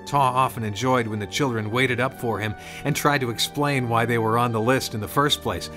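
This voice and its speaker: A man's voice: mid-range voice, fairly even intonation, a little fast, over music. A man narrating a scripted storybook tale as a storyteller.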